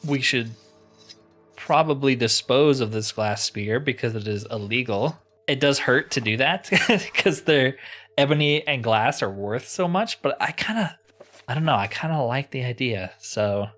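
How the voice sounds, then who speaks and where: Man, mid-range voice, chatty let's play host, informal, thinking out loud, music behind. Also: mid-range voice. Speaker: gaming channel host. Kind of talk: casual let's play commentary.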